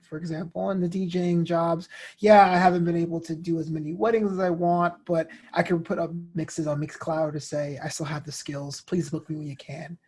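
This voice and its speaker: A man with a husky voice talks as a guest in a relaxed interview. He reflects at an easy conversational level and slips into quoted asides as he explains himself. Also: high-pitched.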